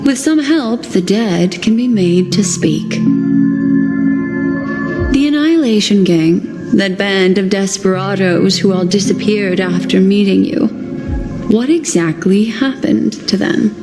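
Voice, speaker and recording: A woman's voice: mid-range voice, takes it slowly, lightly acted. A woman voicing a mysterious, all-knowing game character who reads memories, poised, theatrical and insinuating. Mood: sly, menacing. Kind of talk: video game voice acting. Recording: long reverb, music behind.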